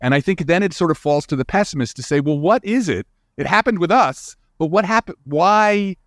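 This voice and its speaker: A man's voice: on the high side, expressive, fairly quick, no echo, clean studio sound. A man speaking as an expert guest in an interview, explaining in a casual, emphatic, informal way and often checking in with "right?". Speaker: guest expert, astrophysicist and author.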